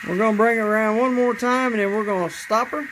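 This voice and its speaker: A man with a raspy voice demonstrating his model trains in a casual, halting way, with many fillers and repeated words. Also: sits high, steady tone, background noise. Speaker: model railroad hobbyist.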